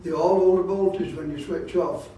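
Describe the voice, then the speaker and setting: A man's voice: high-pitched, steady tone, moderate pace, dark tone, slight background noise. A man giving an informal hands-on club talk, explaining things and repeating his safety warnings insistently. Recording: very echoey room, distant microphone.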